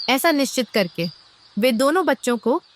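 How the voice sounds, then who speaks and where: High-pitched woman narrating a story. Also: expressive, normal speed.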